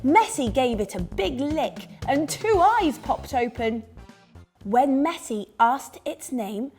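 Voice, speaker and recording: A woman reading a scripted story to young children with expressive, dramatised delivery. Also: high voice, slow pace. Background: music under the reading.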